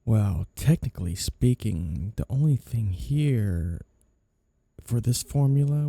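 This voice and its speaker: A man playing a flirty, coolly threatening mafia boss in an intimate ASMR roleplay, with a low gravelly voice. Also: dark tone, no room sound, heavily acted. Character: flirty, menacing male mafia boss, smooth and sly.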